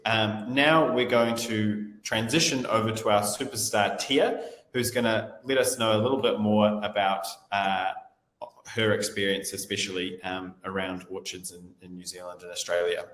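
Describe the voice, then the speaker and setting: A man's voice: on the low side, steady tone, normal speed, a bit bright. A man hosting a webinar, talking loosely and hedging with repeated "I suppose". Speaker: webinar host. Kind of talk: webinar presentation. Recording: echoey room with long reverb.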